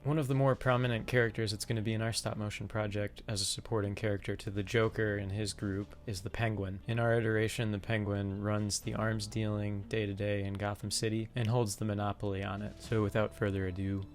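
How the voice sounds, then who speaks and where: A man's voice: lowish voice, little pitch movement, a little fast, hardly any pauses. A man presenting a vlog to his viewers as an amateur voice actor. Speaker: video creator and amateur voice actor.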